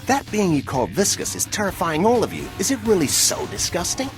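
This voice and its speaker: Eager robot game hero, naive, high voice jumping all over, music behind. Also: male voice, wildly swooping pitch, fairly quick. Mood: puzzled. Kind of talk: video game cutscene acting.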